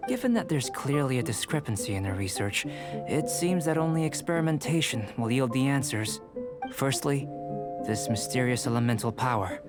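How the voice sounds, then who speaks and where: A man voicing a composed young alchemist in a video game, speaking in a measured, precise and detached way. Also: mid-range voice, lively intonation, loud music behind the voice, lightly acted. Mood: musing, analytical. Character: calm, analytical young male alchemist researcher.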